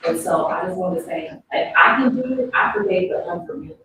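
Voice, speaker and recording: A woman's voice: lowish voice, expressive, fast. A woman panelist giving an earnest, emphatic and encouraging testimonial at a live event. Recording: very echoey room, distant mic, clear noise.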